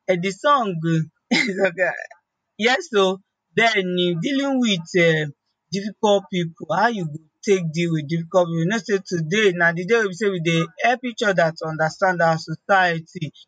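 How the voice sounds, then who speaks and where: A woman's voice: fairly low pitch, normal speed, a bit bright, dry recording, poor audio. A woman with a Nigerian accent hosting a morning radio show, chatty and playful, with many "eh" fillers. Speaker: radio host. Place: radio studio. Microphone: studio microphone.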